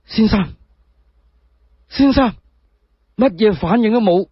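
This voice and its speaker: A man's voice: sits high, expressive, slow pace, slightly rough, no room sound, voice pushed up, full character voice. A man voicing an anxious, devoted young disciple who pleads urgently in a storytelling drama. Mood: fearful pleading. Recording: dull muffled recording.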